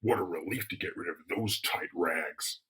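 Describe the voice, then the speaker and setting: A man voicing a huge, gruff, world-weary monster of a man with a gravelly voice in a dramatic comic-book reading. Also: low-pitched, moderate pace, fairly bright tone, slight background noise. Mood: irritated.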